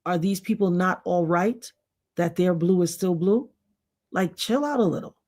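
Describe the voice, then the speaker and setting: A woman's voice: fairly low pitch, moderate pace, a little mellow. A woman in her fifties, hosting her own channel and lecturing her viewers in a casual, preachy way, calling them y'all. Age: 50s. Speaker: YouTube channel host. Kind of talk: casual talk to camera.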